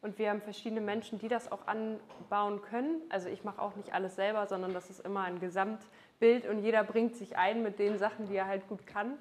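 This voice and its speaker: A woman's voice: medium pitch, little pitch movement, fairly quick, slight background noise. A woman in her thirties talking in a casual interview about cooking with her children, friendly and encouraging, recorded on a lapel mic. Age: 30s. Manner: friendly, affirming with short yeses. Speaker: interviewee, mother.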